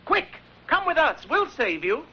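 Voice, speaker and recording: An actor playing a cocky, bossy young British pop-band leader in a cartoon, with a brisk, sardonic manner. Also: male voice, very high voice, very animated, very noisy, heavily acted. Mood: urgent, heroic. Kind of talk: 1960s cartoon voice acting. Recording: dull lo-fi old VHS cartoon soundtrack. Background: music.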